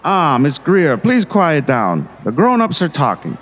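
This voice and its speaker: Man acting pompous high-pitched small-town mayor. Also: huge pitch swings, measured pace, crowd noise. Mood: dismissive, blustering. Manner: smooth-talking, condescending. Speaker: voice actor. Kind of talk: audio drama acting.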